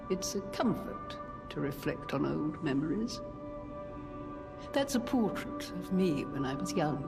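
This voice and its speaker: A gracious old woman of a video game, reminisces in a breathy, genteel way. Her speech is slow and lilting with wide swings of pitch, set over clear music. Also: exaggerated voice. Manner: breathy, unhurried, genteel, reflective. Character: elderly gracious woman, nostalgic and wistful. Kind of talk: scripted game drama.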